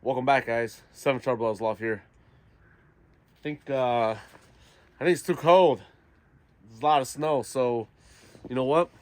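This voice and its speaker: A man's voice: mid-range voice, unhurried, long pauses, darkish tone. A man hosting a vlog in a casual, chatty way with informal, folksy greetings. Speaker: vlog host. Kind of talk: casual vlog chat to camera and guests. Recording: noisy outdoor recording with vehicle sounds.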